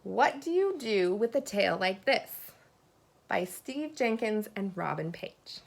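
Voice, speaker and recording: A woman teacher reading a children's picture book aloud expressively, pausing to put questions and asides to her young listeners. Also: medium pitch, sing-song, creaky.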